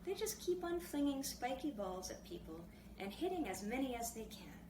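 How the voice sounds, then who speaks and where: A woman voicing a chattering, stubborn, excitable seven-year-old boy in a storybook reading. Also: a little high, moderate pace. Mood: excited, insistent. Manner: jabbering, squealing, insistent. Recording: roomy, distant mic, clearly noisy background.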